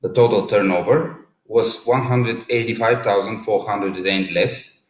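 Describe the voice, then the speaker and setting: Bulgarian man reading trade numbers, steady, fixes a slip here and there, roomy echo. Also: on the low side, a little slow. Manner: reads long strings of figures in an even delivery, with an occasional self-correction. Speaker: presenter of results. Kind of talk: formal read presentation. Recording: echoey room.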